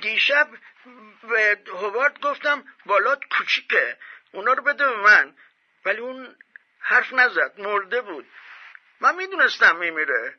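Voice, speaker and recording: A ragged old homeless man in a radio drama, high and husky. He rants and raves with frantic insistence, frail but loud, on a slightly dull recording. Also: very animated. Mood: manic, taunting. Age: older. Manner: shouting, ranting, emphatic.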